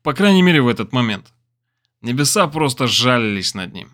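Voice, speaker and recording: A man narrating an audiobook thriller in a deliberate, expressive storytelling manner. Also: medium pitch. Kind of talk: dramatic scripted fiction narration.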